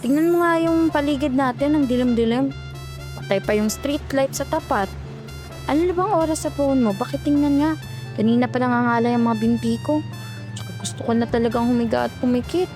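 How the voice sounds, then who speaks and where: A woman's voice: sits high, fairly quick, a little mellow, faint music behind, natural voice. A woman acting as an exhausted young call center agent, grumbling and cautious, in a horror story. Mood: annoyed, nervous. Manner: weary, chatty, grumbling.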